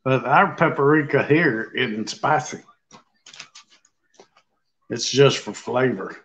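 A man's voice: mid-range voice, slow, a bit bright, a little hiss or hum. An older man, chatting easygoing on a home cooking livestream, recorded on a webcam at a kitchen table. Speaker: livestream co-host. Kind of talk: casual livestream chat. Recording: dull, lo-fi webcam audio.

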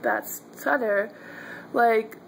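A woman's voice: a little high, sing-song, very slow, a little hiss or hum, poor audio. A young woman who stutters, with blocks, repeated sounds and fillers, talking casually to her viewers in a video diary from a dim bedroom. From Pennsylvania, US. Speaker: vlogger. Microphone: webcam or phone held close.

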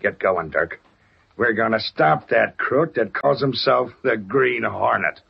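An American actor playing a tough, bossy gang leader in a gruff, slangy manner in an old radio drama. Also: male voice, fairly low pitch, animated, unhurried, slight background noise, heavily acted. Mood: menacing, bossy. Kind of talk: 1930s-40s American radio drama acting. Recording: muffled vintage radio recording, faint music.

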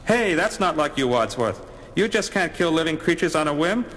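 High-voiced, excitable crewman. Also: normal speed. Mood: reproachful. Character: excitable, principled male starship crewman. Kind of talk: 1970s audio drama acting. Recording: long cavernous reverb.

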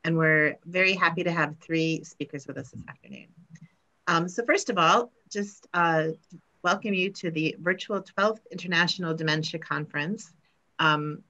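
Middle-aged woman host on a video call; low pitch, crisp bright tone, friendly, dull lo-fi sound. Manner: friendly, welcoming, with fillers. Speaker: conference session host. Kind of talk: hosting a virtual conference session. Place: home room, indoors. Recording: muffled video call audio.

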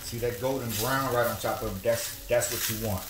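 A man hosting a home cooking show, explaining each step in a folksy, relaxed way. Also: mid-range voice, a little fast, background noise. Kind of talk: casual cooking demonstration. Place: home kitchen at the stove. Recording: echoey kitchen room. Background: oil frying and sizzling in a skillet.

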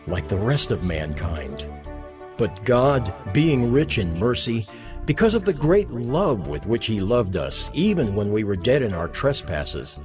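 A man reading scripture as a dramatized narration, solemn and measured, with emphatic phrasing. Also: medium pitch. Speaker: Bible narrator. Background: music under the voice.

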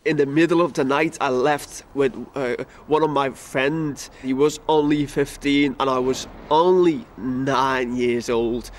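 A man's voice: mid-range voice, fairly even intonation, a little slow, hardly any pauses, slight background noise. A man in his thirties, originally from Afghanistan, with a Liverpool accent, speaking earnestly in an outdoor interview. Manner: earnest, plain-spoken. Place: outdoor garden by brick wall.

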